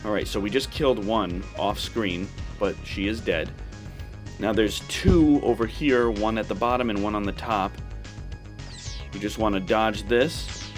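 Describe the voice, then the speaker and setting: Male playthrough host, on the low side, casual and explanatory, game music underneath. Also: measured pace. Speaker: gaming video host.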